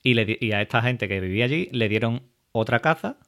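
A man's voice: medium pitch, animated, quick pace, a bit bright. A man hosting a podcast, talking in a casual, chatty way, with many fillers and restarts. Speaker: podcast host.

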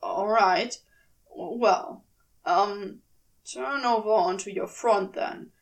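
Drawling awkward man, voiced by a woman, gruffly caring, hesitant, slow, audiobook story character. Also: medium pitch, far from the mic.

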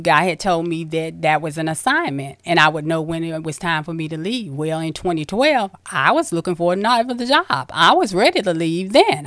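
A woman hosting a faith-based interview, telling her own story in an emphatic, animated way. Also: on the low side, dry recording, clean studio sound. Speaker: host.

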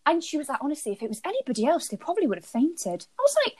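A woman's voice: high voice, rapid-fire, a crowd in the background. A woman, co-hosting a radio show and telling stories in a chatty way, acting out the people she quotes. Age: early 20s. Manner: chatty storyteller, lively quoted voices. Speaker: radio co-host. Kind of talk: casual chat.

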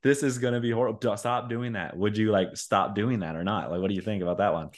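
A man in his forties co-hosting a casual chat, rambling and acting out quotes in lively voices. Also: fairly low pitch, quick pace. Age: 40s. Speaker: podcast co-host.

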